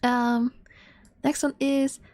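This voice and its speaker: A woman of twenty-one chatting to her stream in a soft ASMR whisper, rambling and giggly. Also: high-pitched, level tone, extremely slow, no room sound.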